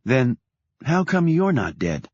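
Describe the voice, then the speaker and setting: A man voicing a wary, sharp-tongued woman journalist in an audiobook. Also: medium pitch, very animated, slow, dry recording, muffled recording, pitched above the performer's own voice. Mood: probing.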